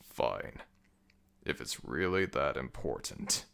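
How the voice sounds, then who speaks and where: A man's voice: extremely low pitch, very animated, takes it slowly, creaky, a bit bright, clear, resonant tone, full character voice. A man voicing an ancient, weary and grumbling jackal god of the dead in a scripted audio roleplay, with a dry, imperious manner. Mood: dry, irritated.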